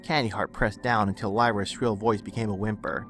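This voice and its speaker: A man's voice: fairly low pitch, fairly even intonation, fairly quick, fairly bright tone. A man reading scripted dramatic story narration. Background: music under the narration.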